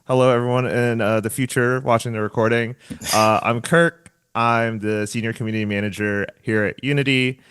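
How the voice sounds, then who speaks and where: Man, workshop host, upbeat, playful, smooth clear voice, dry close sound, video call. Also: mid-range voice, fairly even intonation, normal speed, a bit bright, no room sound. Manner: upbeat, laughs often, playful. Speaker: community manager, workshop host. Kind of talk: casual online workshop hosting.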